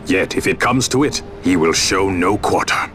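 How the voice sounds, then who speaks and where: A man's voice: deep voice, soft background music. An actor playing a grave nobleman who advises rebels in formal, old-fashioned speech. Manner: formal, archaic, measured speech. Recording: game cutscene with loud orchestral score.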